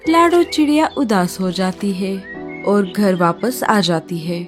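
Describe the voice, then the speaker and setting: A woman's voice: medium pitch, big pitch swings, slow, over music. A woman narrating a scripted children's story.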